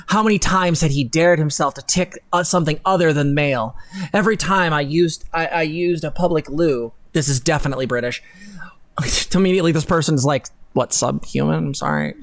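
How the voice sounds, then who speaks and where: A man doing a mock-dramatic reading on a live stream, theatrical and sarcastic, breaking off for casual, sweary asides. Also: medium pitch. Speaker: co-host reading text aloud on a live stream. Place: indoor room at a computer, live stream.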